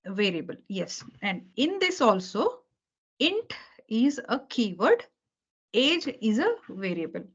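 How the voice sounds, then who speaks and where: A woman's voice: a little high, big pitch swings, takes it slowly, slightly rough. A woman teaching a beginner programming lesson over a video call, patient and repetitive, checking understanding after almost every sentence. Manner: patient, repeats key points, checks understanding with okay and right. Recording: dull lo-fi call audio.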